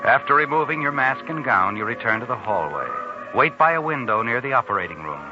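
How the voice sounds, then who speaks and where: An actor playing a sinister, taunting, all-knowing narrator in a 1940s radio suspense drama, insinuating and dramatic, on a muffled old recording. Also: male voice, mid-range voice, expressive, brisk, music in the background, close to an everyday voice. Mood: taunting, ominous.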